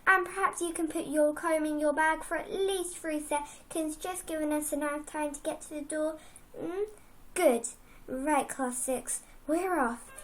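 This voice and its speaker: High-pitched exasperated schoolteacher read by a young girl, sarcastic, scolding, poem recitation. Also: measured pace, a bit bright. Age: child. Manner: sarcastic, scolding, full of rhetorical questions. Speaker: poem reader.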